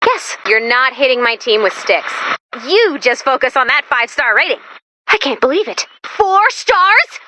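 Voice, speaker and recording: A high, bright-voiced female robot sidekick from a video game. She is perky and neurotic, swinging all over her range with dramatic, self-deprecating flair. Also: sing-song, moderate pace, no echo, full character voice. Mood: indignant. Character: excitable, anxious, sarcastic female robot helper. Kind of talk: animated video game voice acting.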